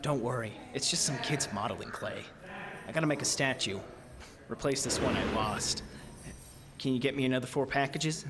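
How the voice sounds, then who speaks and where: A man's voice: medium pitch, moderate pace, background noise, lightly acted. Grim male ex-convict in cavernous reverb, played by an actor. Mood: calm, patient. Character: grim, patient male ex-convict arsonist narrating his story. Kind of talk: horror audio drama narration. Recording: long hall reverb.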